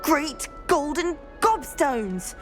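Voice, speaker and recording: A woman's voice: high-pitched, big pitch swings, drawn-out words, soft background music, full character voice. A woman with a British accent playing an earnest, chatty teenage schoolgirl in a video game. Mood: fretful. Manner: eager, chatty, friendly. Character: earnest, plant-loving teenage schoolgirl named Samantha. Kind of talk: video game dialogue acting.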